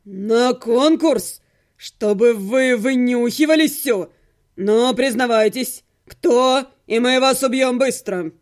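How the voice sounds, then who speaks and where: A woman voicing a cold, condescending male courtier who menaces his captives in a fantasy audiobook. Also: on the high side. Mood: cold, menacing. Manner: deliberate, condescending, threatening.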